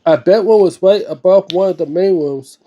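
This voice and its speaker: A man with a notably high voice and a soft, dark-edged, relaxed tone reads a book aloud. He moves between narration and informal asides, sometimes tripping over the wording. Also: normal speed, highs cut off. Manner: stumbles over words while reading, informal interjections. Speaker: host reading a book aloud and reacting. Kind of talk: read-aloud narration with casual, off-the-cuff reactions.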